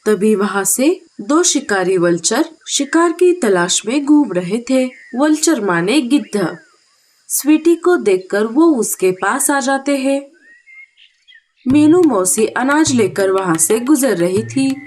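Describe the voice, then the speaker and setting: A woman's voice: on the high side, expressive, takes it slowly, a little mellow. A woman narrating a scripted story for children.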